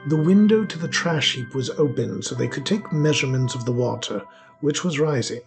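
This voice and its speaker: A man narrates a scripted fantasy tale in the role of storyteller. His voice has a creaky, fried grain at a middling pitch, and music plays clearly behind him. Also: animated, a little fast.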